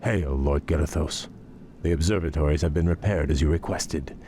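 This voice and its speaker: A proud elven prince for a game, deep, cultured, bitterly wry. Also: male voice, normal speed, dark tone, slight background noise. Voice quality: refined, dry and sardonic. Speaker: prince and field commander. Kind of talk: video game cutscene voice acting.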